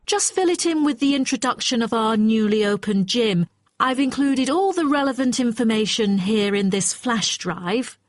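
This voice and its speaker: Woman acting precise hotel client, higher-pitched voice. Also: lively intonation, moderate pace, dry recording, studio quality, natural voice. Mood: businesslike, firm. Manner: formal, precise, matter-of-fact. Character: brisk, exacting female hotel client requesting brochure revisions. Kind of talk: scripted exam listening dialogue.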